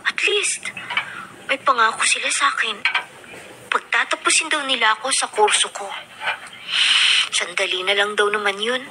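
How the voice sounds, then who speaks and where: Woman acting high-voiced pregnant young Filipina student. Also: normal speed, pauses often, heavy background noise, natural voice. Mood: pensive, resolved. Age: young adult. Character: pregnant young college student, anxious and dependent. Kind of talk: Filipino radio drama acting. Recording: loud music under the voice.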